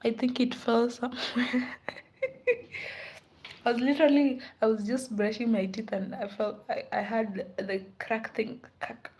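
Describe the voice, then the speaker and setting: A tired, unwell woman in a casual live stream, greeting viewers and chatting softly with frequent laughs, sometimes dropping to a whisper. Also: on the high side, fairly even intonation, a little slow, slightly rough. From Kenya. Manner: soft-spoken, laughs often, drifts into whispers. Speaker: livestreamer.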